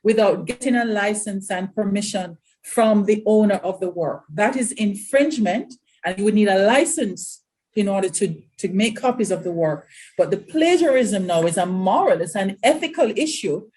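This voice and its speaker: A woman's voice: mid-range voice, expressive, normal speed, a little mellow. Woman moderating a panel. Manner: clear, explanatory, emphatic.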